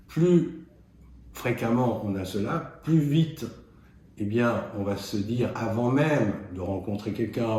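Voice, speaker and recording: Man, low mellow voice, measured didactic delivery, halting pauses, very echoey room, faint background noise. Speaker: doctor giving self-help explanations. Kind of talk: explanatory talk with examples.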